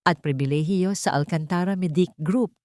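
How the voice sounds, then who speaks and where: A woman's voice: lowish voice, moderate pace, dry recording, studio quality, lightly acted. Woman playing a cold, commanding betrayed heiress. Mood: cold authority. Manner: calm, sharp, formal.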